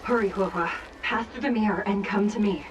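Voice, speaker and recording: An actress playing a stern, composed female judge in an anime-style game, formal and measured in delivery. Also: medium pitch, noisy background, lightly acted. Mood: coaxing, commanding.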